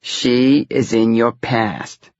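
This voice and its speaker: A man's voice: mid-range voice, little pitch movement, extremely slow, darkish tone, dry recording, muffled recording. Man as a matter-of-fact Brooklyn widow. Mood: flat. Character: plain-spoken Brooklyn widow, friendly part-time psychic.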